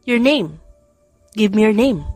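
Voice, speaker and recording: A woman's voice: medium pitch, lively intonation, takes it slowly, darkish tone, dry recording. A woman voicing a cheeky, cocky little boy in an audiobook. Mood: cocky, bargaining. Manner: cocky, teasing. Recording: background music under the voice.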